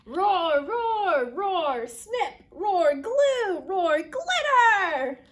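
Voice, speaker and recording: A woman as an excitable, roaring little storybook dinosaur. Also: very high-pitched, drawn-out words, pitched above the performer's own voice, heavily acted. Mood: excited. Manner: shouted roars and short exclamations. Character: small excitable roaring young dinosaur, boisterous and determined. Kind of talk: children's storybook character voice.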